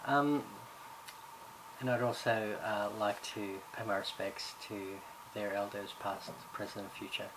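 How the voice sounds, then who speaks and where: A man's voice: on the low side, steady tone, a little slow. Hesitant man introducing a university subject. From Ireland, raised in Australia. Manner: hesitant, many fillers and restarts. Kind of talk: recorded course introduction. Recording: background noise.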